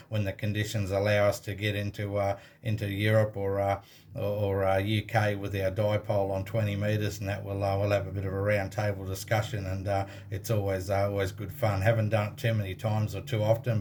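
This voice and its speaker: An Australian man working as an amateur radio operator, chatting casually over a contact in halting phrases full of operator jargon. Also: fairly low pitch, a little hiss or hum.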